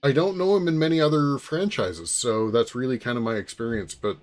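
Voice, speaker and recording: A man in his forties hosts a casual podcast chat. His voice is medium in pitch, and he talks haltingly, circling back as he thinks aloud. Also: a little mellow. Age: 40s. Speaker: podcast host. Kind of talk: casual film discussion.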